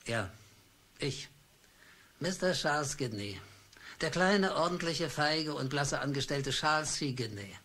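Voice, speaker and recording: An actor playing a meek, downtrodden office clerk who narrates his own story, nervously excited and blustering. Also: on the high side, a little slow, pauses often, full character voice. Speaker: narrator and lead of a radio play. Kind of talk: radio drama with first-person narration.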